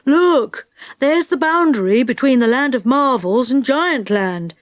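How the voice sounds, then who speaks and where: A woman voicing an excitable, bossy, adventurous male companion in a children's fantasy story, exclaiming with urgency. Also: a little high, takes it slowly, no echo, clean studio sound. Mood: amazed.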